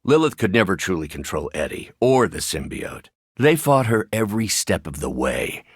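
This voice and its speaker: A brainy, self-effacing American scientist from a superhero video game. His voice is low and middle-aged, with a thoughtful, slightly hesitant delivery and wry understatement. The recording is dry, with no room sound. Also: sing-song, moderate pace, husky, no room sound, exaggerated voice. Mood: regretful, grave. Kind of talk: video game character dialogue.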